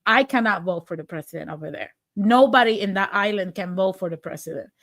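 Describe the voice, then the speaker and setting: A woman's voice: medium pitch, brisk, a bit bright. A woman from Puerto Rico with a Puerto Rican accent, hosting a livestream and giving blunt, impassioned political commentary as she reads viewer comments. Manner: impassioned and blunt, with sarcasm and occasional profanity. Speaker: livestream host.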